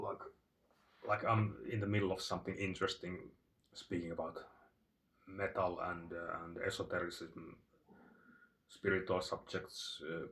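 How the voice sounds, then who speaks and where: A Finnish man with a Finnish accent, telling a long digressive story in a halting way with many fillers and restarts. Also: low voice, a bit bright, distant mic. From Finland. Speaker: channel host. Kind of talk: rambling storytelling monologue. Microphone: phone.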